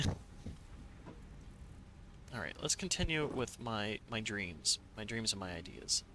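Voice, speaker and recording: A man's voice: on the low side, animated, takes it slowly, bright, forceful tone, slight background noise. A man streaming a factory-building game live, thinking aloud with playful asides to himself. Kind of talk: live gameplay commentary.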